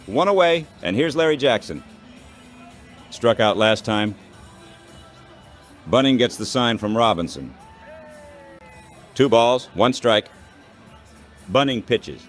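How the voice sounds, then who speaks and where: A man calling baseball play-by-play as an announcer, in short, measured phrases. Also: medium pitch, lively intonation, faint music behind.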